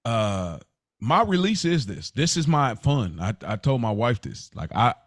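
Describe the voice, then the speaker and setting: A man in his thirties with an American accent talks as a podcast co-host in a mid-pitched voice. His delivery is casual and unscripted, full of slang and animated repetition. The sound is dry, with very little room in it. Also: unhurried, dry recording.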